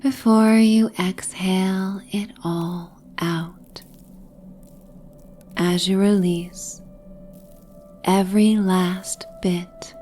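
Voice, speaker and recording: A woman with a soft, breathy, near-whispered voice gently narrating a soothing guided sleep meditation and bedtime story. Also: mid-range voice, fairly even intonation, faint music behind. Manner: gentle, soothing, hypnotic, with long pauses.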